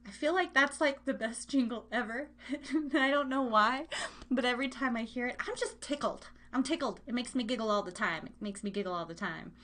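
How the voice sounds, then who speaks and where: Woman radio host, fairly high voice, playful, rambling, repeats herself, casual live chat. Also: a little fast, a bit bright, slight background noise. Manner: giggly, rambling, playful, repeats phrases for emphasis. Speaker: host of a live online radio show and podcast.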